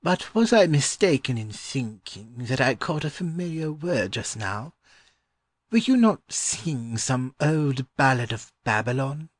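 A man acting as a weary old-fashioned scholar from a storybook, gentle and courteous. His voice sits at a medium pitch and he speaks in an unhurried, learned way, recorded dry. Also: very animated, no room sound. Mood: polite, curious. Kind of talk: dramatized children's audiobook.

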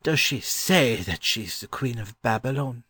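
Man playing a mild, bemused scholarly gentleman. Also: medium pitch, unhurried, dry recording, clean studio sound, voice pushed up. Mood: uneasy, musing. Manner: hesitant, courteous, puzzled.

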